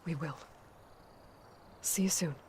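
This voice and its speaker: A woman's voice: sits low, takes it slowly, mellow, a little hiss or hum. An actress playing a determined, commanding young woman warrior leading a raid in a dramatic video game. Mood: resolute. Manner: decisive, commanding.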